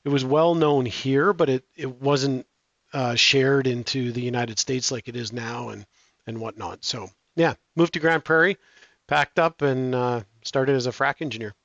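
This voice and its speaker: A middle-aged man answering interview questions in a relaxed, halting way, with many restarts and fillers. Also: medium pitch, dry recording, dull audio. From Canada. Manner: hesitant, frequent restarts and fillers. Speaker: guest.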